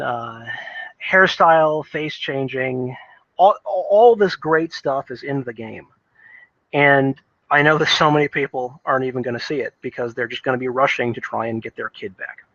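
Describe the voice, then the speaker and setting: A man giving casual, unscripted game commentary in a rambling, halting way, often repeating words as he thinks. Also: mid-range voice, bright, forceful tone, no room sound, muffled recording. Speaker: video game reviewer.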